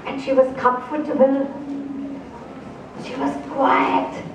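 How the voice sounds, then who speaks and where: Woman storyteller, high and unhurried, heard from afar in an echoey hall with noise around. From India. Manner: slow, expressive, asks the audience questions. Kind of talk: live storytelling to an audience. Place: festival opening ceremony stage. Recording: echoey hall, distant mic, background noise.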